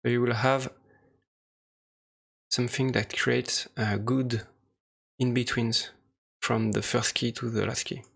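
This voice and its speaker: A man presenting an unscripted software tutorial, speaking hesitantly in short, halting phrases. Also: medium pitch, flat delivery, gravelly. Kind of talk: unscripted screen-recorded tutorial walkthrough. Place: voice-over for a screen recording.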